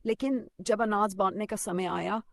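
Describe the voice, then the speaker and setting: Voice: mid-range voice, steady tone, moderate pace, gravelly, fairly bright tone, a little hiss or hum. A narrator reading a scripted children's story.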